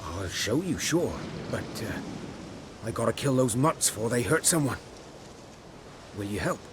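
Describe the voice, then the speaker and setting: An actor playing a weary outcast hunter, a man who speaks quietly and guardedly. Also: medium pitch, animated, a little slow, background noise, close to an everyday voice. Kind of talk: video game voice acting. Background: game music.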